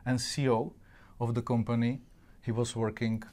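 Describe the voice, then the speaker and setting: A man who is a non-native English speaker, hosting an interview in a halting way. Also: mid-range voice, little pitch movement, a little mellow. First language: not English. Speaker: interviewer and host. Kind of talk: interview with greetings to an audience.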